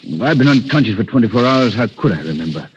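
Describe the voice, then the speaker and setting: A man plays an imperious gentleman hero in a vintage radio drama. His mid-pitched voice moves widely and urgently, through dull, faintly hissy sound. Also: very animated, fast talker, close to an everyday voice. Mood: groggy, puzzled. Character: commanding, impatient gentleman hero. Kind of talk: old-time radio drama acting. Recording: muffled, noisy vintage radio recording.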